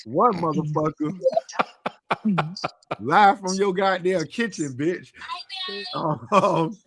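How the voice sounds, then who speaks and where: A man's voice: fairly high pitch, very slow, a bit bright, slightly rough. A man hosting a casual live stream, bantering bluntly with plenty of swearing and teasing as he talks and reads out comments. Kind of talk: casual panel banter, reading out viewer comments.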